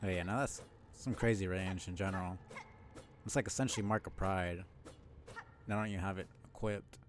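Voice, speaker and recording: Low-voiced man chatting on a game stream. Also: fairly even intonation, normal speed, soft background music. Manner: musing aloud while playing, chatty with viewers. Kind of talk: casual gaming stream commentary.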